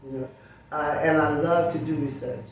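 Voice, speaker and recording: An older woman in her seventies or beyond, speaking as an interview guest in a reflective, emphatic and deliberate storytelling way. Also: deep voice, steady tone, highs cut off. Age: 70s or older. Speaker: interview guest, sociologist and teacher. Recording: very echoey room, distant mic, faint noise.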